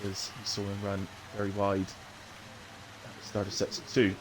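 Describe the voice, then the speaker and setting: A man's voice: fairly low pitch, completely flat delivery, normal speed, noisy background. A man with a chest infection giving informal live sim racing commentary and casual chat as the host, in short running phrases.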